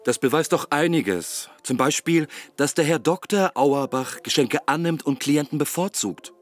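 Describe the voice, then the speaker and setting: An actor playing a sceptical investigator, sarcastic and accusatory, in a German radio play. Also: male voice, fairly high pitch, animated, normal speed, faint music behind, lightly acted. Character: sceptical, needling male investigator accusing officials.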